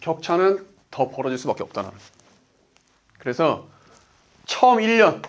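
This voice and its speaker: A man's voice: a little high, sing-song, moderate pace. A man in his forties giving a motivational business talk as a coach, emphatic, asking rhetorical questions and answering them himself. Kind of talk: motivational lecture.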